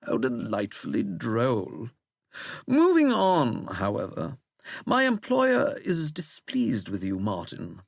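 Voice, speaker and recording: A man's voice: medium pitch, huge pitch swings, slow, gravelly, dry recording, clean studio sound, heavily acted. A man voicing a suave, menacing English wizard in an audiobook, with a plummy accent and a languid, mocking delivery. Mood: silky menace. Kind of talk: audiobook character acting.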